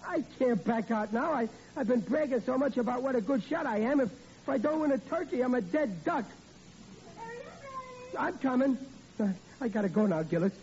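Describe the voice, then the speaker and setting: Flustered family man, high and mellow, stammering bluster, dull old radio sound. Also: lively intonation, moderate pace. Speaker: lead character in a radio comedy. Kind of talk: 1940s radio acting. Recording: old lo-fi radio recording with faint hiss.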